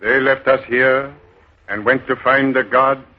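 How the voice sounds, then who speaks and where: An actor playing a cunning beggar leader who poses as a god in a 1930s radio drama, giving orders in a sly, deliberate way. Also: male voice, medium pitch, fairly even intonation, lightly acted. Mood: grandly deceptive. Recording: muffled old radio broadcast with music.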